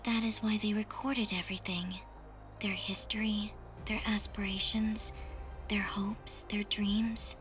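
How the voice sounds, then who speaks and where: A woman voicing a solemn, wistful female artificial intelligence in a video game, speaking in a soft, breathy, formal and measured way. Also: mid-range voice, little pitch movement, close to an everyday voice. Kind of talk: scripted video game cutscene acting. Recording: music under the voice. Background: game music.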